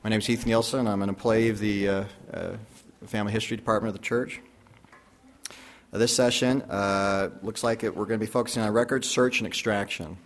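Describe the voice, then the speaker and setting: Halting man introducing a session. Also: lowish voice, steady tone. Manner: halting, frequent uhs. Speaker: session moderator.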